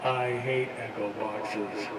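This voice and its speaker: Slow-talking man over clear background noise. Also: on the low side, fairly even intonation. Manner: unscripted, halting, matter-of-fact delivery. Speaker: radio hobbyist demonstrating gear. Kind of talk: casual product walkthrough.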